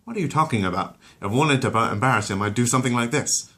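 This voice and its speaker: A man's voice: medium pitch, animated, quick pace, a bit bright, pitched above the performer's own voice. A man voicing an oafish, hot-headed cartoon father in a blustering, indignant manner.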